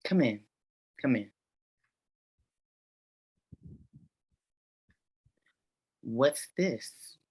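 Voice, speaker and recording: An elderly grandmother with an extremely low voice, played by a woman in a table read. She is a courteous hostess with a sinister edge, bossy and slow in her commands. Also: lively intonation, warm tone, husky, heavily acted. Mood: gracious. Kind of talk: virtual table read acting.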